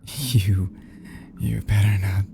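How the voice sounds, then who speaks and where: A man playing an obsessive, lovesick kidnapper in his thirties in an intimate audio roleplay, unstable and vulnerable, stammering and halting, slipping into whispers. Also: fairly low pitch, steady tone, gravelly, noisy background. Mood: agitated disbelief.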